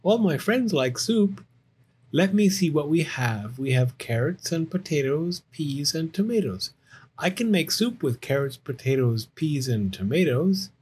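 A man's voice: on the high side, animated, a little slow, darkish tone, husky, voice pushed up, exaggerated voice. A man voicing an eager, innocent little bear cub in a children's storybook, with childlike, earnest delivery. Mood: worried, hopeful.

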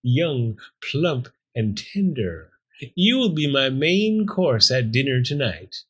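A man's voice: mid-range voice, very animated, slow pace, fairly bright tone, husky, full character voice. A man voicing a wily, dapper fox villain in a storybook, smug and hungry, with suave, florid menace. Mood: smug, gloating.

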